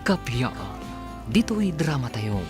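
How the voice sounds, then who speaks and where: A man's voice: mid-range voice, sing-song, slow. A man with a Filipino accent announcing a radio drama in a dramatic, scripted style. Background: dramatic music.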